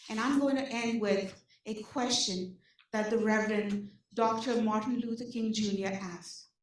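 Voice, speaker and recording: A woman with an Indian accent gives an animated talk to a room of service providers, full of rhetorical questions and frequent "okay?" check-ins. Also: on the high side, slight background noise. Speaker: nonprofit program presenter. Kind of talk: energetic public talk to an audience. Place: large meeting room. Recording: echoey hall, speaker far from mic.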